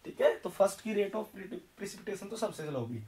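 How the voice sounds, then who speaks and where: A hoarse young man teaching chemistry at a board, explaining step by step and asking questions that he answers himself. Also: sits high, animated, normal speed, a little mellow, recorded from a distance. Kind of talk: whiteboard lecture. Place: indoor classroom in front of a green board.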